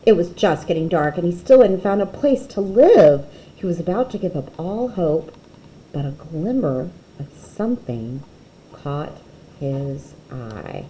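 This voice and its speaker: A woman reading a children's picture book aloud expressively, with dramatic pauses and emphasis. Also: deep voice, big pitch swings, warm tone, slight background noise, low-quality recording.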